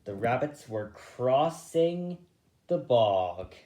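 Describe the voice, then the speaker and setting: A man's voice: mid-range voice, very animated, extremely slow, dark tone. A man reading a children's story aloud as narrator, recorded in an echoey room. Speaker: story reader.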